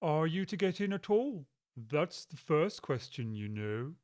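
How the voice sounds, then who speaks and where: An actor playing a stubborn, unbothered frog footman who speaks slowly and pedantically. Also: fairly high pitch. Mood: stolid. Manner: slow, flat, unbothered, pedantic. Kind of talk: audiobook drama acting.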